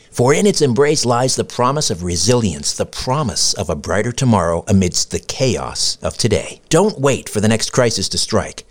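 A man hosting a talk podcast, delivering scripted narration and sponsor reads. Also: mid-range voice. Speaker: podcast and radio host.

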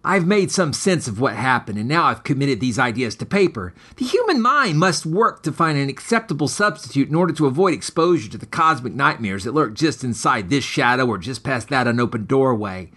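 Man with lively, swooping storytelling delivery. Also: medium pitch, a little fast, few pauses, breathy edge. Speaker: author and podcast host. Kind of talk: scripted dramatic story narration.

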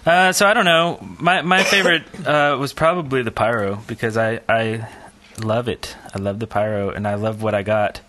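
A man's voice: medium pitch, normal speed, sharp tone. A man hosting a podcast in casual chat, with a joking, teasing manner. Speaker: podcast host.

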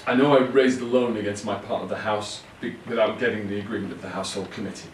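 An actor playing an earnest, halting brother who confesses and pleads with his sisters in an audio play. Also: lowish voice, fairly even intonation, far from the mic, natural voice. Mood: earnest, confessing. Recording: roomy, off-mic, faint background noise.

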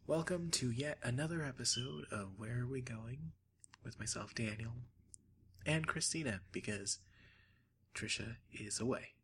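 A man hosting a podcast, giving a relaxed, wry introduction to the episode. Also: medium pitch, unhurried, a little hiss or hum. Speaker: podcast host.

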